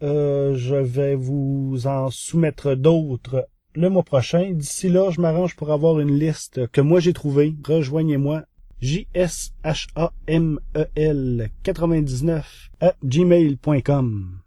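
A man's voice: on the high side, slow, mellow, no room sound. A man with a Quebec French accent presenting a semi-prepared review column as a podcast columnist.

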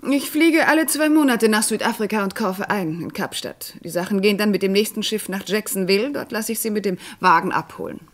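An actress playing a shrewd, guarded art importer in a German radio crime drama, composed, formal and evasive. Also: female voice, mid-range voice, expressive, fairly quick, hardly any pauses, lightly acted.

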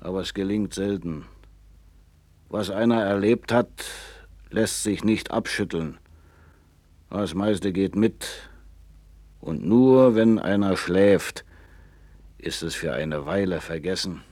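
An actor playing a proud, knowing seafarer in a 1960s German radio play, with a musing storyteller's manner. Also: male voice, sits low, darkish tone, no room sound. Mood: musing, confiding. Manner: slow, musing, storytelling, with swings from boastful to confiding.

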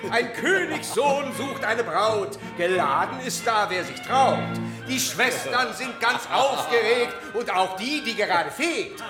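An actor playing a playful fairy-tale pantomime narrator, reciting sing-song rhymed verse on stage. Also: male voice, very high-pitched, lively intonation, slow, full character voice. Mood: playful, festive. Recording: echoey, with loud music behind.

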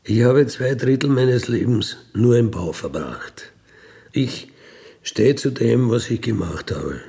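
A middle-aged or older man with an Austrian accent talks candidly about his own life. His voice sits at an ordinary male pitch with heavy fry in it. He takes his time, speaking colloquially and matter-of-factly, with no apology in his manner. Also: expressive, slow pace, dark tone. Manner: matter-of-fact, colloquial, unapologetic. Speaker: ex-convict interviewee telling his life story. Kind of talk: candid conversational recollection.

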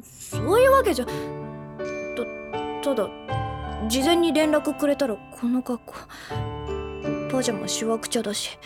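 A high, very changeable schoolgirl voice, curt and defensive, slipping into shy mumbles. She is prickly and easily flustered, hiding fondness, with music under her in an anime audio drama. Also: big pitch swings, moderate pace, long pauses.

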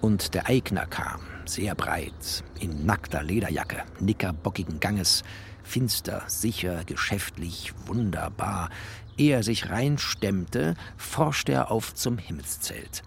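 Low, creaky-voiced bookish narrator. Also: male voice, expressive, slow, a little hiss or hum, full character voice. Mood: wry, musing. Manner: poetic, clipped phrasing. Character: bookish, wry, tender poor writer narrating his life. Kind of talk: literary first-person radio play narration.

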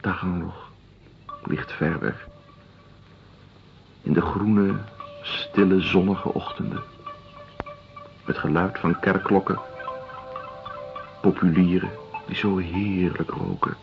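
An actor playing a weary, wistful Russian researcher in a radio drama, speaking in measured, reflective phrases. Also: male voice, low-pitched, fairly even intonation, creaky, exaggerated voice. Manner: measured, pausing between short reflective phrases. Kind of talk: radio drama acting, lyrical and slow. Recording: dull lo-fi radio drama recording. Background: music.

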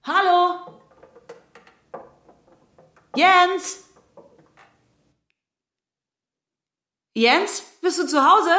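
An actress playing a hesitant, uneasy woman in naturalistic, halting German radio drama dialogue. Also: very high-pitched, fairly bright tone, exaggerated voice. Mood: searching, uneasy.

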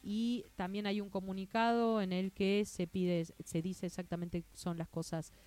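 A woman's voice: medium pitch, flat delivery, measured pace, darkish tone. A woman with an Argentine Spanish accent hosting a casual talk show on a radio studio mic, with frequent fillers and restarts. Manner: hesitant, many fillers and restarts. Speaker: radio news show host.

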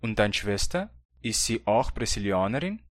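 Lower-voiced man reading lesson questions. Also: sing-song, normal speed, no echo, studio quality. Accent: Brazilian Hunsrik German. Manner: plain, questioning learner's delivery. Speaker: language course reader. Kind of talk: scripted textbook dialogue.

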